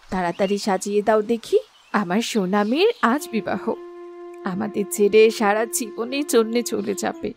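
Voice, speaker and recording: A woman's voice: medium pitch, normal speed, gravelly, faint music behind, lightly acted. A woman voicing a loving cartoon queen who is bossy yet tender. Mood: urging. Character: fussing, loving queen, mother of a bride.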